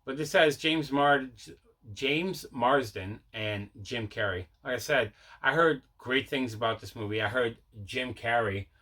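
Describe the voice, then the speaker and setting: Male vlog host, mid-pitched with a bright, forward voice; casual, enthusiastic collector chat that circles back on itself. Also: unhurried. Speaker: video host showing a movie collection. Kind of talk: casual mail-day haul chat.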